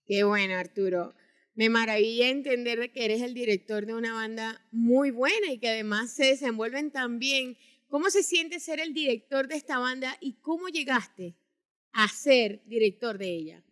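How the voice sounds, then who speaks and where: Woman, show host, high pitch, forceful bright tone, interview style. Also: normal speed. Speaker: program co-host. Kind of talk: scripted show introduction and interview.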